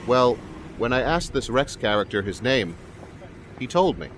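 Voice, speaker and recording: A man's voice: mid-range voice, sing-song. A man playing a sheepish, deferential police sergeant who speaks hesitantly, in an audio drama. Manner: hesitant, halting. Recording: faint outdoor street and vehicle noise.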